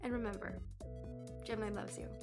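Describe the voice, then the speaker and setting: A woman's voice: mid-range voice, fairly even intonation, a little fast, creaky, music in the background. A woman speaking as a channel host, addressing her viewers in an outro. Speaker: audio roleplay creator, channel host.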